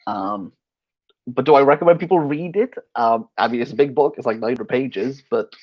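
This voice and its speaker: A man's voice: medium pitch, moderate pace, breathy edge. A man in the middle of his range chats loosely through a livestream Q&A, a little tipsy. He rambles, restarts sentences and leans on fillers. The audio is slightly dull and lo-fi. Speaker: book channel host. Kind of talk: casual livestream Q&A.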